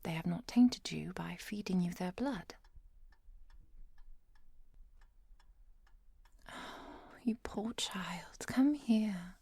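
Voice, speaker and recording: A woman playing a composed, caring professor and vampire hunter in an ASMR roleplay, speaking softly and precisely, often near a whisper. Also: lowish voice, expressive, creaky, husky, no room sound, exaggerated voice. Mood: soothing, tender. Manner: measured, precise, softly commanding.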